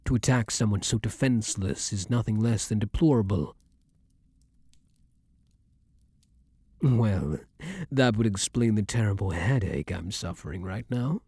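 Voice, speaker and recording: A man performs a vampire king in an intimate ASMR roleplay, with a low, mellow voice recorded close and dry. He speaks in formal, archaic courtly phrases, measured and tender toward a former enemy. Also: breathy edge, no room sound. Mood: remorseful.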